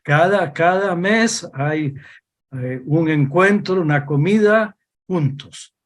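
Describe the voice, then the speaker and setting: An older man from Costa Rica, a religious brother being interviewed, recalls his past in an unhurried, thoughtful way. His voice sits somewhat high for a man, and he pauses often to find his words. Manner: slow, reflective, hesitant with pauses. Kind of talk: interview over video call.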